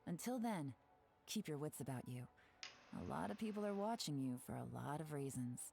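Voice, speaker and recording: An actress voicing a confident, secretive female spy in a video game, smooth and self-assured, a little playful. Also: sits low, expressive, moderate pace, warm tone. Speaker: game character.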